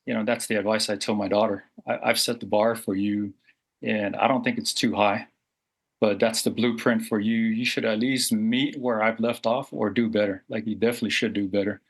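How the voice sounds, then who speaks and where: A man's voice: fairly low pitch, steady tone, a little fast, slightly rough. A man in his forties from Samoa, talking earnestly as an interview guest, reflective, with frequent fillers and restarts. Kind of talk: candid interview talk.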